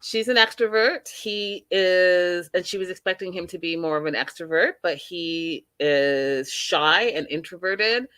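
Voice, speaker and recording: A woman's voice: on the low side, measured pace, few pauses, bright, forceful tone, clear, resonant tone, dry recording. A woman hosting a casual reality TV recap, chatty and emphatic, talking straight to her viewers. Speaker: host.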